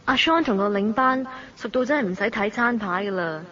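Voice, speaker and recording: An actress playing a curious, cheeky young student in a radio drama, with a Hong Kong Cantonese accent. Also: female voice, mid-range voice, expressive, brisk, fairly bright tone, natural voice. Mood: excited, puzzled. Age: young adult. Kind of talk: radio drama narration and dialogue. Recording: dull lo-fi audio.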